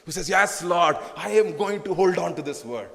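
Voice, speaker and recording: A man's voice: high-pitched, expressive, moderate pace, vocal fry, a bit bright. A man with an Indian English accent preaching a fervent sermon to a congregation, repeating phrases and rising to emphatic bursts. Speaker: pastor. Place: church hall. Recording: long reverb of a church hall.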